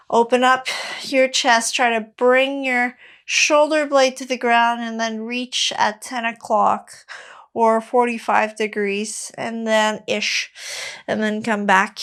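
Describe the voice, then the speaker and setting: Slow-talking woman with a Quebec French accent. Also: on the high side. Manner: relaxed, halting, many fillers. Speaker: fitness coach. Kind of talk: casual workout instruction.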